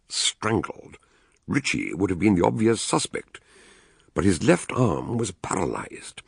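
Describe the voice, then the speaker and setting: A ponderous older British detective for radio drama. The voice is very low and husky, swinging widely in pitch. He weighs his phrases with dramatic gravity. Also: no echo, clean studio sound, full character voice. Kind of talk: radio drama acting, narrated case notes.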